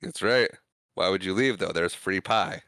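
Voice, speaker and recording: A man's voice: deep voice, moderate pace, fairly bright tone, no echo, studio quality. A man co-hosting a podcast, retelling film scenes in casual chat, acting out the characters' quoted lines. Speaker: podcast co-host.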